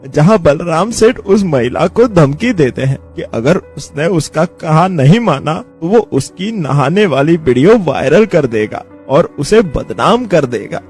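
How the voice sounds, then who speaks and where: A man's voice: on the high side, expressive, a little slow, hardly any pauses, a little mellow, soft background music. An actor voicing a shifty village man in a Hindi cartoon story, with emphatic, theatrical delivery. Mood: pleading, confessing. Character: village men, evasive headman and his caught henchmen.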